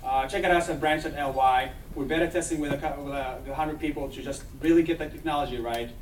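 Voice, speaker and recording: A young man from Israel giving an eager startup pitch, talking fast and restarting his phrases. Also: fairly even intonation, a little hiss or hum. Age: young adult. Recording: echoey hall, mic from far off.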